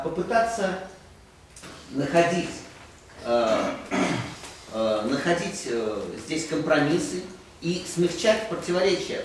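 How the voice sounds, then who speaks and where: A middle-aged man speaks at a meeting table, his slightly high voice rambling on and checking for agreement with the room. He sounds distant, caught from across a conference room. Heavy echo and steady hiss sit under him. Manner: rambling, frequent "да?" tag questions, gesturing. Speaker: roundtable participant. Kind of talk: panel discussion. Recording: echoey room from far off, noisy.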